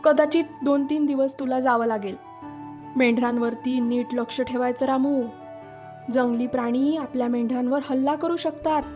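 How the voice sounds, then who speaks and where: A woman's voice: high-pitched, steady tone, moderate pace, warm tone, faint music behind, natural voice. A woman voicing a concerned village shepherd father with a firm, instructing manner in a children's story.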